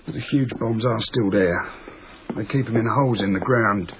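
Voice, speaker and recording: A man's voice: on the low side, moderate pace, close to an everyday voice. A man acting in a radio drama as an earnest, simple working man who explains things in a rambling, naive, matter-of-fact way. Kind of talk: naturalistic radio drama dialogue. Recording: tinny lo-fi radio broadcast, noisy.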